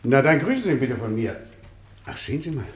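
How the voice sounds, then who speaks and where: A man's voice: mid-range voice, sing-song, a little fast, natural voice. A man playing a helpful, chatty neighbour in an old German radio crime drama. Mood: friendly. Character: helpful, chatty male neighbour in a Paris apartment house. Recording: noisy old radio recording.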